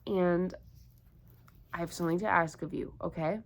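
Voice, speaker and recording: A woman's voice: fairly low pitch, fairly even intonation, normal speed, creaky. A woman doing an interactive storytime for young children, encouraging them with repeated questions. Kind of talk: interactive picture-book read-aloud for young children.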